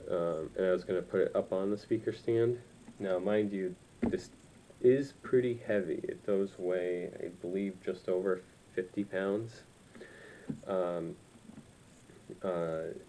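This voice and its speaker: A man in his twenties with a very low, dark and relaxed voice talks informally to camera as a reviewer. He rambles a little and feels his way through his points. The recording is made indoors with faint noise behind him. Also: poor audio. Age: 20s. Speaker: reviewer of audio gear. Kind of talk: casual review chat to camera. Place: small room indoors.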